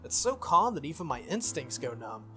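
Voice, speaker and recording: A let's-play host reads a visual novel aloud in a medium-pitched voice. The delivery moves between scripted narration and chatty, offhand asides. Music plays underneath. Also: very animated, normal speed. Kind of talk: scripted reading with casual commentary. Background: soft game music.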